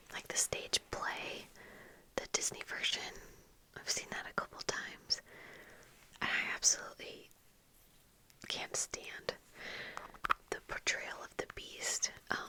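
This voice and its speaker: Young woman whispering ASMR, slow and crisp. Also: pushed and bright, poor audio. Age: young adult. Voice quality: breathy unvoiced whisper. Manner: casual, halting, unhurried. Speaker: ASMR video creator. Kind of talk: whispered ASMR get-ready-with-me chat. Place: small indoor room at home with bookshelves. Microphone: fluffy-covered microphone close in front, earphones on.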